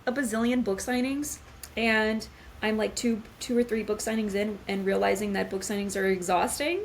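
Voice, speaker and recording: A woman's voice: mid-range voice, steady tone, moderate pace, slight background noise. A woman guest author chatting casually on a podcast, using lots of fillers and laughing often.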